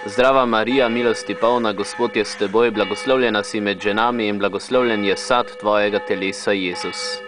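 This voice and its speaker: A priest hosting a casual chat, hesitant with many fillers, who also leads prayers. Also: male voice, fairly low pitch, fairly even intonation, a little fast, hardly any pauses, over music. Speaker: priest host.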